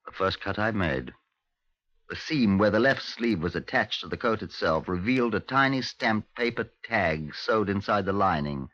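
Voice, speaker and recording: An actor playing a brisk, methodical British police inspector in a 1950s radio drama, with a clipped, formal, measured delivery. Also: male voice, fairly low pitch, animated, fairly bright tone. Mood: matter-of-fact. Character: brisk, methodical male Scotland Yard inspector. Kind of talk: 1950s radio drama acting, narration and dialogue. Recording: dull, lo-fi 1950s radio recording.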